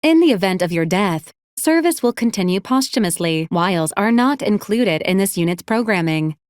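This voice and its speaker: A woman's voice: medium pitch, expressive, moderate pace, no room sound, studio quality, lightly acted. A woman playing a polite, literal robot assistant unit in a video game, speaking formally and precisely with clinical detachment. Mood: formal, detached. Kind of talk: scripted video game character lines.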